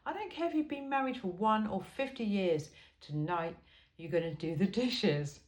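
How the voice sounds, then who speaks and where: A woman's voice: mid-range voice, normal speed, darkish tone. Echoey, wry woman reading aloud. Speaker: amateur reader of a humorous self-help book. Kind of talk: informal book read-aloud with chatty asides. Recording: roomy, echoey sound.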